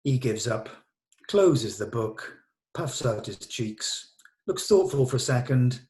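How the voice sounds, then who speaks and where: A man's voice: mid-range voice, animated, slow pace, a little mellow. A man giving scripted narration, reading out the stage directions at a script table read.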